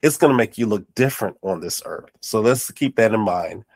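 A man's voice: on the low side, expressive, brisk, creaky, husky, no room sound. A man hosting an interview podcast, sounding nasal and congested, with affirming and encouraging talk. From North Carolina. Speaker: podcast host. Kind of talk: casual interview chat.

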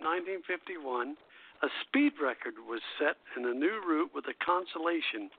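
An older man hosting a radio show in a folksy, halting way, reminiscing and reading out notes. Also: a little high. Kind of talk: casual reminiscing and reading out airline history notes. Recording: tinny narrow phone-line internet radio.

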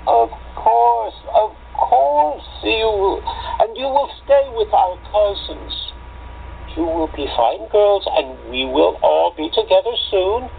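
Man playing anxious, gravelly old-country father on tinny line. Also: high voice, lively intonation, takes it slowly, full character voice. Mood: reassuring. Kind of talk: amateur radio play acting. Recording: tinny phone-like line with noise.

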